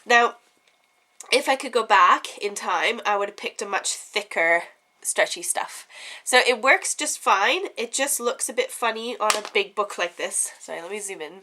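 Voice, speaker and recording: A woman's voice: mid-range voice, lively intonation, moderate pace, sharp tone. A young woman with a stuffy head cold, chatting casually and giggling through a craft show-and-tell at home. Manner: chatty, giggly, lots of ums. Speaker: craft vlogger.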